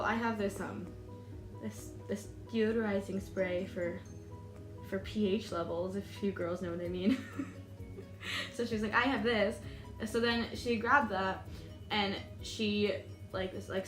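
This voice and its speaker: Chatty young American woman, echoey room. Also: medium pitch. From United States. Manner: chatty, many "like"s and "um"s, laughs nervously. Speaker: vlogger. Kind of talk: storytime vlog. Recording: echoey room with music behind.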